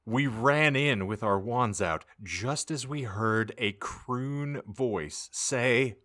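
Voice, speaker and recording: A man's voice: medium pitch, slow, bright, forceful tone. A man reading fan fiction aloud as comic audiobook narration, theatrical and mock-serious. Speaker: podcast host reading an audiobook.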